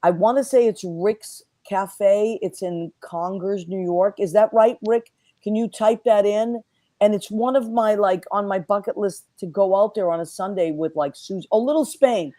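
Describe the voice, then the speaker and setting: A woman hosting a casual online talk show, whose first language is Italian, chatting and giving friendly shout-outs with plenty of fillers. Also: medium pitch, moderate pace, no echo. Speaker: host. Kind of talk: casual chat.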